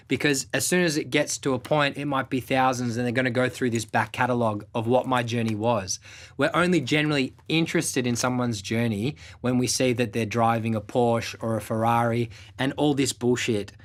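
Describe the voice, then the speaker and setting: A man's voice: mid-range voice, a bit bright. Laid-back man talking frankly. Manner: candid, laid-back, swears casually, lots of "you know" and "um". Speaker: music producer and show host. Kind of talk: casual motivational talk to camera.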